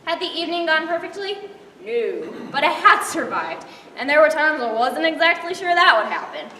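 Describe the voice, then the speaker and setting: A woman's voice: high voice, big pitch swings, normal speed, pushed and bright, exaggerated voice. A young woman playing an earnest teen babysitter narrating. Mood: reflective. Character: flustered teen girl babysitter looking back. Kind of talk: amateur stage play. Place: large hall stage. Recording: echoey hall from far off, background hiss.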